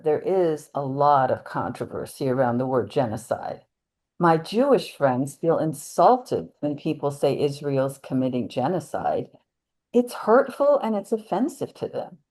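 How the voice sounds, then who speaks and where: A worried, tactful churchgoing woman, read by a woman in a readers theater piece, voices her concern with some hesitation. Her voice is low, with very lively rises and falls. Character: worried, tactful churchgoing woman uneasy about hurtful language. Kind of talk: scripted dialogue read as casual discussion.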